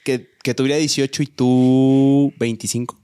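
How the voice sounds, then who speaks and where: A man in his twenties with a Mexican accent talks like a podcast co-host among friends. His delivery is colloquial and full of slang, at a middle pitch. The sound is dry and close. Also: little pitch movement, a little slow, warm tone, clean tone, dry recording. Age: 20s. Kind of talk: casual chat, anecdote telling.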